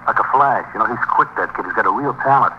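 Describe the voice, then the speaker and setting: An actor playing a wisecracking, evasive car thief in a 1950s radio police drama, streetwise and slangy. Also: male voice, lowish voice, fast, natural voice. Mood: glib, explaining. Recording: muffled, noisy 1950s radio recording.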